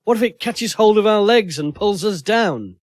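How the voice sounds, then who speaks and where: A man's voice: sits high, expressive, normal speed, dry recording, clean studio sound, pitched above the performer's own voice. A man voicing an anxious, wounded man in a troubled romance from an audio story, uneasy and defensive. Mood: nervous.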